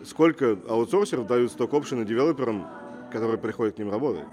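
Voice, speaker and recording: A man's voice: medium pitch, expressive, fast, over music. A man who grew up in Russia, speaking as a guest entrepreneur in an interview, assertive and fond of rhetorical questions. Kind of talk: casual interview talk.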